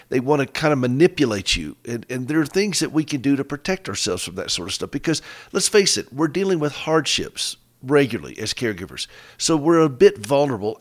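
A middle-aged man with a slightly hoarse voice, hosting a caregiver radio show in a folksy, emphatic storytelling way. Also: mid-range voice. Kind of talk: conversational radio talk. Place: rural home in the mountains.

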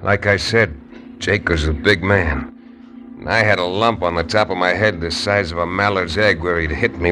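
An actor playing a steady, dry-witted frontier lawyer who narrates a 1950s radio drama in a wry, measured way. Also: male voice, low voice, close to an everyday voice. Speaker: narrator and lead. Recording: noisy old radio recording.

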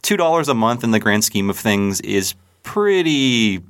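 A man's voice: mid-range voice, big pitch swings, unhurried, a bit bright, clear, resonant tone. A man co-hosting a casual podcast, chatty and rambling, with jokes and plenty of fillers. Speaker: podcast co-host.

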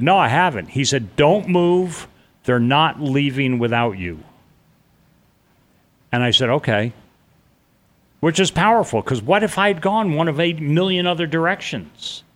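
A man's voice: medium pitch, fairly bright tone. A middle-aged man telling a personal story in an interview, blunt and vivid, with casual swearing. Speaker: interview guest.

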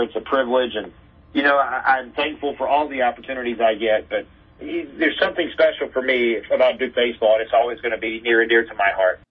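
A man in his thirties, a play-by-play announcer giving casual interview answers. His voice is rough-edged and mid-pitched, heard through a narrow, muffled phone-like connection. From Raleigh area, North Carolina. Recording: muffled telephone-like line.

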